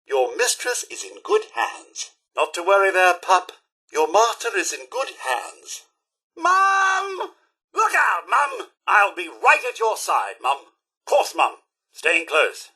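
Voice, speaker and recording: A man's voice: sits high, sing-song, unhurried, pushed and bright, husky, exaggerated voice. A man voicing a prim, loyal, fretful robot butler in a video game, with a British accent and formal, deferential manners. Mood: composed, dutiful, then eager, alert. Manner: formal, deferential, theatrically polite. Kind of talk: scripted video game dialogue.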